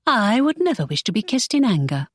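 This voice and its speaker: A woman voicing an innocent, spirited young lady in an audiobook, speaking earnestly in a raised, lively voice. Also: medium pitch, brisk, voice pushed up. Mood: earnest indignant.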